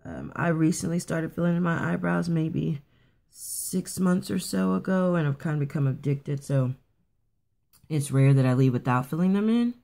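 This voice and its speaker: A woman with a raspy morning voice casually talking through a quick makeup routine, rambling a bit with fillers and restarts. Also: low-pitched, mellow. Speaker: beauty vlogger. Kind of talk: casual makeup tutorial chat. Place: at home getting ready for work.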